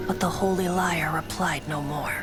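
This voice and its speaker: A woman's voice: on the low side, steady tone, normal speed, natural voice. A woman voicing a wistful bard who recites an old epic ballad in stately verse for a video game. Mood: solemn, dramatic. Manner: lyrical, stately. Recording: prominent music under the voice. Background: loud background music.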